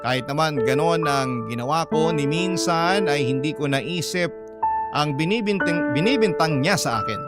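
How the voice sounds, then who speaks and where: High-voiced Filipino man narrating over loud music. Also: expressive, normal speed. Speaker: narrator reading a listener's letter. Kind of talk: dramatic first-person story narration. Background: loud instrumental music.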